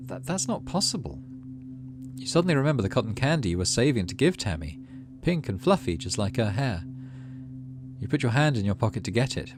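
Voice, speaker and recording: A man hosting a game playthrough, reading story text aloud expressively and adding casual asides. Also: lowish voice. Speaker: gaming channel host.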